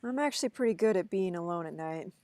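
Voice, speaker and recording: A woman's voice: fairly low pitch, animated, fast talker, darkish tone, dry recording, close to an everyday voice. A woman playing an awkward, reluctant, exasperated young man in an amateur script reading, flustered and protesting.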